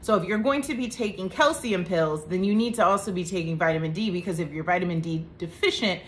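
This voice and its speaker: Chatty woman, low-pitched voice. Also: quick pace, fairly bright tone, slight background noise. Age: 30s. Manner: chatty, joking asides, faith talk. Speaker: vlogger. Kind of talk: casual health talk to camera.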